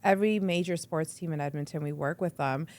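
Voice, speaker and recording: A young woman talking casually as a podcast guest. She speaks in a chatty, quick way, says "like" often, and acts out her own thoughts. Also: deep voice, a little mellow, no room sound. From Canada. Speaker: guest, radio entrepreneur.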